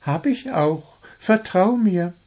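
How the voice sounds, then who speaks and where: A man's voice: high voice, lively intonation, slow pace, darkish tone, breathy edge, voice pushed up, full character voice. A man voicing a small, proud, cajoling blue bird with romantic airs in a storybook. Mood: confident, persuasive. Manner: confident, cajoling, unruffled. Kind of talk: storybook character voice.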